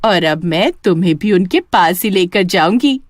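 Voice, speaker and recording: A woman's voice: lowish voice, animated, moderate pace, no echo, clean studio sound. A woman voicing a sly, menacing witch who poses as a street drink seller in a cartoon horror tale. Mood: gloating, menacing. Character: sly female witch posing as lemonade seller.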